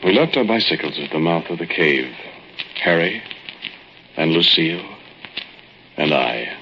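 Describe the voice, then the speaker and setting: An actor playing a haunted, brooding older man who narrates a dark memory gravely and deliberately in a 1940s radio drama. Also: deep voice, animated. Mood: brooding, wistful. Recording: dull, lo-fi old radio recording. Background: dramatic music.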